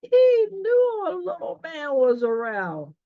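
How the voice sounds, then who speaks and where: A woman's voice: extremely high pitch, wildly swooping pitch, slow pace, no echo, phone-quality sound. A woman reading a children's story aloud, explaining it in chatty asides and speaking directly to listeners.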